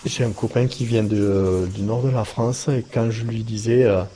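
A man answering a street interview in a relaxed, hesitant way. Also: lowish voice, moderate pace, a little hiss or hum.

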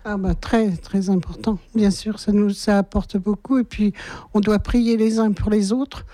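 Woman, mellow tone, dry sound, hesitant modest answers. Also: mid-range voice, steady tone, unhurried, no echo. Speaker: volunteer. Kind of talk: interview answers.

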